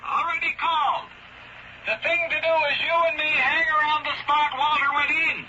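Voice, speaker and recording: Insistent plain-spoken seaman, radio play, very high voice through boat radio, clear noise. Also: little pitch movement, normal speed. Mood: firm. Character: stubborn, loyal seaman calling over boat radio. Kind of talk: old-time radio drama acting. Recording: dull noisy radio drama recording.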